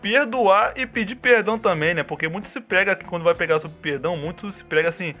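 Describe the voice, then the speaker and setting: Fast, bright-voiced man explaining earnestly. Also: high-pitched, soft background music. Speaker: podcast co-host.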